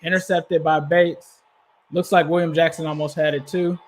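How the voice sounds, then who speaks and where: Higher-voiced man calling a game on stream. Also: little pitch movement, moderate pace. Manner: conversational, addresses viewers and chat. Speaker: host of a fan live stream. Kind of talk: casual live play-by-play commentary.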